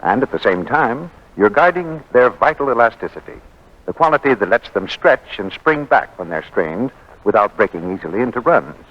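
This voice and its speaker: A man's voice: mid-range voice, very animated, normal speed. A man reading scripted advertising as a polished, emphatic 1940s radio announcer. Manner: polished, persuasive, emphatic delivery. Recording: muffled 1940s radio broadcast.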